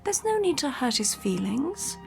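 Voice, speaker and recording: A woman's voice: high-pitched, big pitch swings, normal speed, vocal fry, soft background music, heavily acted. A woman playing a long-suffering, politely guarded society wife in a radio drama, with an arch, understated manner. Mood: dryly reproving. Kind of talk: classic radio drama acting.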